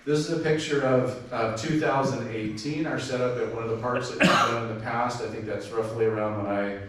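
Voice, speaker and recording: A man's voice: medium pitch, steady tone, fairly quick, a bit bright. A man giving an informal amateur radio club presentation, chatty, with lots of fillers and restarts. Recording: far-off mic in a very echoey room with faint noise.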